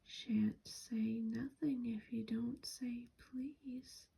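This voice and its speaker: Teasing sing-song poltergeist as a woman whispers it, breathy, very slow. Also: fairly high pitch, steady tone, warm tone, full character voice. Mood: taunting. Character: mischievous male poltergeist, taunting and sing-song. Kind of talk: whispered storybook reading.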